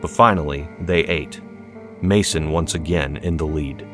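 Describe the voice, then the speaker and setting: Male audiobook narrator, low voice, scripted dramatic storytelling with clear musical underscore. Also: unhurried, fairly bright tone. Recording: music behind the voice. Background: background music.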